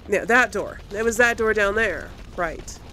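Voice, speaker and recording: A woman's voice: mid-range voice, a little slow, sharp tone, a little hiss or hum. A woman giving casual gameplay commentary, thinking aloud and often questioning herself as she goes. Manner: musing, self-questioning. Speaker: let's play host.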